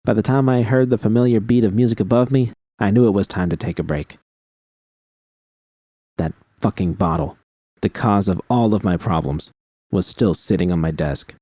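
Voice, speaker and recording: Male audiobook narrator for first-person fiction, low and dark in tone, scripted read. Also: normal speed, no room sound, clean studio sound.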